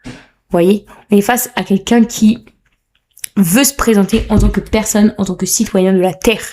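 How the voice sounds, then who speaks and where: A woman's voice: medium pitch, steady tone, moderate pace, dark tone. Young woman, podcast host, casual solo chat, playful, self-mocking, slightly sore throat. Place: room at home.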